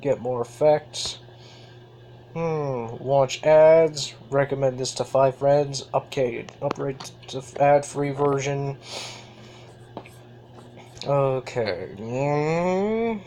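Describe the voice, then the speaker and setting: A man's voice: mid-range voice, very slow, pauses often, dark tone, a little hiss or hum. A young male video host giving casual, goofy commentary as he demonstrates an app, prone to giggling. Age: child or teenager.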